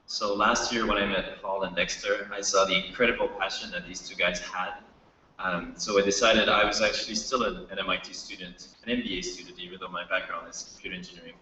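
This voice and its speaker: A man's voice: low voice, flat delivery, fairly quick, bright, forceful tone, muffled recording, slight background noise. A man giving a casual startup talk to students, telling his own story. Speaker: startup co-founder speaker. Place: hall with a live audience. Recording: echoey hall, mic from far off.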